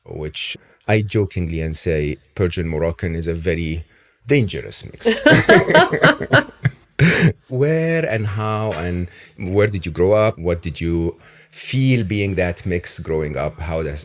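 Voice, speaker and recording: A man's voice: deep voice, lively intonation, a little slow, fairly bright tone. A man hosting an interview podcast, introducing his guest in a reflective way with frequent fillers. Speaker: podcast host.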